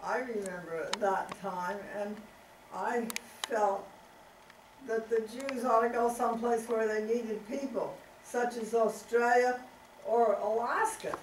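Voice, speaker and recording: A woman's voice: on the high side, steady tone, slow pace, a little hiss or hum. Frank older woman, far and echoey. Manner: frank, interjecting. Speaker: guest speaker. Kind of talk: conversational remarks. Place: meeting room at a table. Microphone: far camera.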